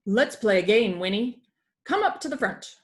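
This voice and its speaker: A woman's voice: medium pitch, expressive, moderate pace, close to an everyday voice. A woman voicing a hearty old male walrus captain who teaches in pirate phrasing, from a children's comic book. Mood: hearty, instructive.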